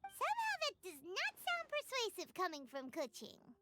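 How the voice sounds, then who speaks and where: Game fairy sidekick: childlike, chirpy, outspoken, very high and hugely animated. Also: female voice, normal speed, over loud music, exaggerated voice. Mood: cheeky. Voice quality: squeaky, childlike. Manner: chirpy, exclamatory. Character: tiny cheerful childlike fairy companion, excitable and outspoken. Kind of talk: video game character acting.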